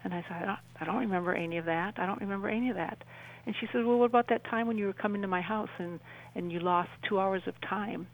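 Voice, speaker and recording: A woman in her sixties with a Midwestern American accent, telling a personal story as an interview guest in a halting, conversational way. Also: mid-range voice, poor audio. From southwestern Wisconsin, United States. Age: 60s.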